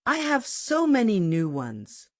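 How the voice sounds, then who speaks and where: A woman voicing a kind, trusting young bride in a folktale, gentle and easygoing. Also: fairly high pitch, wildly swooping pitch, slow, pitched above the performer's own voice, exaggerated voice. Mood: relaxed, casual.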